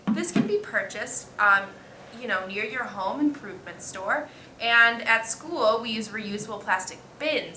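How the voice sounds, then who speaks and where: High female student voice, very bright, halting scripted explainer, roomy echo. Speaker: student guest playing composting expert. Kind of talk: scripted explanatory interview answers. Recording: echoey room with faint noise.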